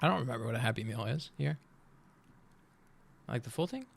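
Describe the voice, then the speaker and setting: A man in his thirties chatting casually on a live stream, rambling and joking as he reacts to a video, his voice swinging between loud and soft. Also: mid-range voice, animated, fairly quick, creaky.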